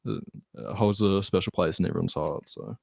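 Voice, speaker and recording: Aussie guy, lowish voice, rambly n hesitant, just chatting, very dry sound. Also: big pitch swings, a little fast, creaky, darkish tone, no echo. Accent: Australian. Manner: hesitant, rambling, heavy use of "like". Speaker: band member guest. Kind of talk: casual chat.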